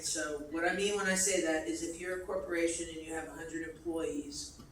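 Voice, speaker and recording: A man's voice: fairly high pitch, slight background noise. A man on a business panel speaking in a direct way and checking in with the audience. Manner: direct, adds "all right?" checks. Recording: echoey hall, mic far off.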